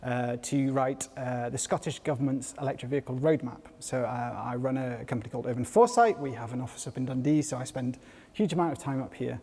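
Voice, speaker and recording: A man with a softened Geordie accent, speaking as a panel guest in a measured, explanatory way with many ums. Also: mid-range voice, breathy edge. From North East England. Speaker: panel guest, company head. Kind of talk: panel talk. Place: large hall with an audience. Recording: long hall reverb.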